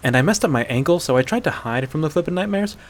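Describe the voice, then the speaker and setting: A man's voice: a little high, expressive, fast talker, voice pushed up, close to an everyday voice. A man voicing a stubborn, sarcastic young hunter in a dramatic fantasy story, blunt and incredulous in his challenges. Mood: breathless, excited. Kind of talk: dramatic fantasy audiobook character voice.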